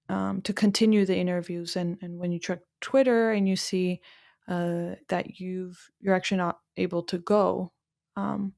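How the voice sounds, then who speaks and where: A woman's voice: medium pitch, darkish tone. Woman host, reflective with many fillers. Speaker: podcast host. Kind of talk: interview questions.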